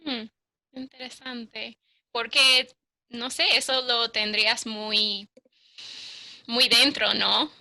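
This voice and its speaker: Woman, interviewer, very bright voice, high pitch, curious questions. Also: level tone, slow pace, pauses often. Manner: curious, brief backchannel replies.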